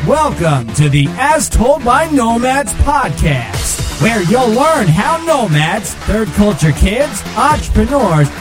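An announcer reading a scripted podcast intro with a slow, lilting delivery. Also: medium pitch. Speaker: show announcer. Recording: intro over music.

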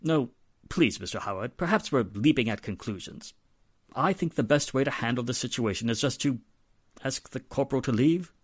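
An actor playing a middle-aged, polite and hesitant wealthy father with a measured manner in a 1940s-style radio drama. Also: mid-range voice, lively intonation, slightly rough, dry recording, studio quality. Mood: apologetic, placating.

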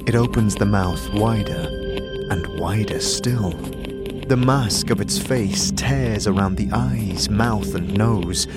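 A man narrating an audio drama from a script in a measured, atmospheric storytelling manner. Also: lowish voice. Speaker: narrator and voice actor. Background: music.

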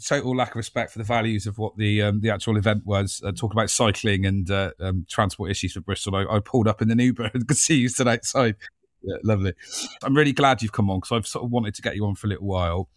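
A man's voice: on the low side, fast talker, dry recording, studio quality. A man hosting an interview in a friendly, matey way, prompting his guest with questions. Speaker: podcast host. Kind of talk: casual interview chat.

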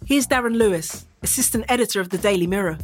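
A woman's voice: mid-range voice, fast talker, soft background music. A woman presenting a documentary in scripted narration, introducing contributors and linking their accounts.

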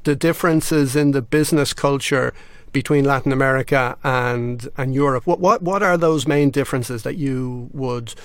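A man's voice: mid-range voice, moderate pace, dry recording. A man hosting an interview, asking questions politely and often repeating words as he starts a question. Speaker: podcast host.

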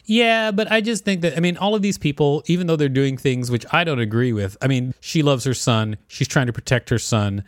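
A man's voice: mid-range voice, a little fast, few pauses, dry recording, studio quality. A man co-hosting a podcast, reading an article aloud and discussing it casually. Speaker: podcast co-host.

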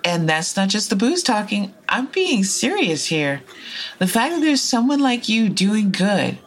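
A woman's voice: medium pitch, very animated, a little slow. An actress playing an earnest, informal young woman traveling between worlds in an audio drama. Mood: earnest, tipsy.

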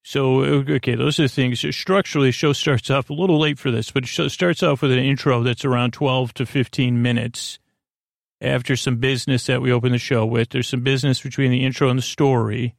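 Man, gravelly creak, dry close sound, gentle, reassuring, halting, meandering sleep-podcast monologue. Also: medium pitch, steady tone, no room sound. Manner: meandering, halting, trails off mid-thought. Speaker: podcast host. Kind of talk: rambling bedtime monologue for sleep.

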